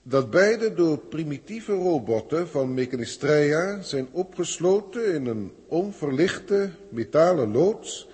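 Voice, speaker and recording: An actor playing a haughty, impatient alien official who talks formally and pompously in a 1960s science fiction radio play. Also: male voice, a little high, animated, slow pace, hardly any pauses, a little mellow, husky, full character voice. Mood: formal reporting. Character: haughty, impatient male alien senior proctor filing reports. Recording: echoey hall effect, old radio recording.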